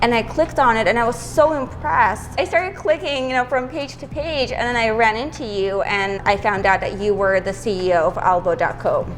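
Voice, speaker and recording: A woman's voice: on the high side, moderate pace, hardly any pauses, a little hiss or hum, rough recording. A young woman hosting her channel, asking eager, friendly interview questions. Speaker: YouTube host and interviewer.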